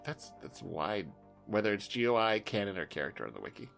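A man's voice: on the low side, big pitch swings, brisk, vocal fry, a bit bright, soft background music. A man hosting a podcast in casual, joking chat, with an irreverent, sweary way of talking. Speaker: podcast host.

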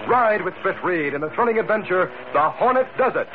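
A man's voice: sits high, animated, a little fast. A man narrating a 1940s radio drama as announcer, in a dramatic, declamatory manner. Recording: tinny, very noisy old radio recording.

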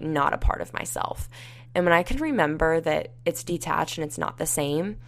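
Young female podcast host, low gravelly voice, tired and raspy, rambling and casual. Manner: rambling, many fillers like "like" and "you know". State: tired and dehydrated. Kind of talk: solo podcast monologue, casual advice.

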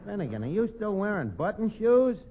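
Streetwise New wisecracker running a tavern, pompous and sardonic, on a noisy, tinny vintage radio comedy recording. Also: male voice, high voice, big pitch swings, a little fast. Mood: wry, teasing. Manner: sardonic, streetwise, malapropism-prone. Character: wisecracking, self-important tavern manager with mangled grammar. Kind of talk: 1940s radio comedy acting.

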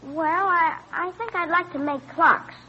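A woman's voice: very high-pitched, measured pace. A woman playing an eager, polite farm boy in an old-time radio drama. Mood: eager, earnest. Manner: earnest, polite. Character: eager, bright farm boy who loves figuring. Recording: dull vintage radio recording.

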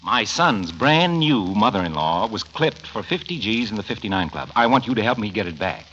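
An actor playing a smooth middle-aged con man colonel in an old radio comedy, with suave, wry, mock-grand patter. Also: lowish voice, big pitch swings, normal speed, a bit bright. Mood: smooth scheming. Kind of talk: 1940s radio comedy acting. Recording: muffled, noisy old radio recording.

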